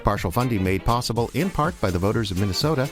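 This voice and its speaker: A man's voice: lowish voice, expressive, quick pace, music in the background. A man reading scripted closing credits as the announcer of an audio drama.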